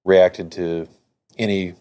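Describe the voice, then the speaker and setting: A man's voice: deep voice, flat delivery, slow pace, dark tone. A male interview host who asks long, wandering questions and politely addresses his guest as sir. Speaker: podcast host.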